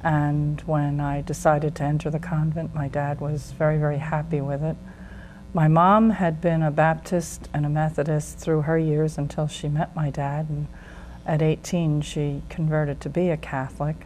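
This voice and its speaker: A woman's voice: deep voice, normal speed, rough recording. A middle-aged American woman, a former nun, steadily recounting her life as an interview guest. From United States. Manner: plain, steady recounting with fillers. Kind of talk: interview testimony.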